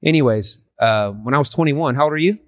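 A man's voice: medium pitch, fairly quick, dry recording. Earnest man in his forties, dry sound. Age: 40s. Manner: earnest and persuasive, frequent restarts. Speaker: street evangelist. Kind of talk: casual street conversation.

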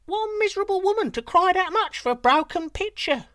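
A man reading a folk tale aloud, voicing foolish palace sentinels in a high, comic falsetto. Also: lively intonation, fairly quick, sharp tone, dry recording, studio quality, full character voice. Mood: mocking. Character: squeaky, dim-witted palace sentinels.

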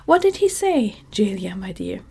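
A woman's voice: mid-range voice, sing-song, moderate pace, creaky, slightly rough, heavily acted. Scarecrow, a fussy straw king, as a whispering woman puts him on. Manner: soft whispered reading.

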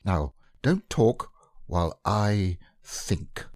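A man's voice: lowish voice, big pitch swings, drawn-out words, a little mellow, no echo, clean studio sound, heavily acted. A man voicing a bossy, fussy male rabbit who talks in a precise, self-important way in an audiobook reading.

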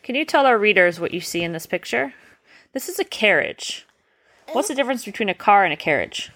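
A woman's voice: mid-range voice, very animated, fairly quick, a bit bright. A woman reading a children's bedtime story as a parent, explaining and checking with questions.

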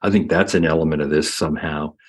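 A man with a low, husky voice hosts a casual panel discussion. He talks through statistics step by step in a relaxed, conversational manner before handing off to a guest. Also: quick pace. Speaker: host moderating a panel.

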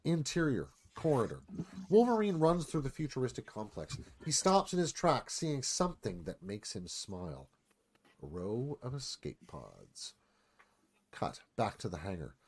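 A man's voice: medium pitch, very animated, measured pace, husky. A man narrates an action screenplay read live as radio theatre. His voice sits in a middle register as he reads out stage directions.

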